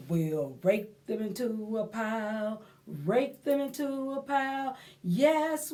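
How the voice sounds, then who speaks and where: Woman, high voice, unhurried delivery, children's storytime host, sing-song, expressive, simple repeated phrases. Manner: addresses young listeners as friends. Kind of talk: children's storytime read-aloud and action rhymes.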